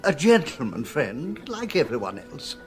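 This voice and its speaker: An actor playing a jovial, gossipy show impresario and gourmand in a British radio drama, effusive and theatrical. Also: male voice, on the high side, very animated, a little slow, faint music behind. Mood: gossipy, amused.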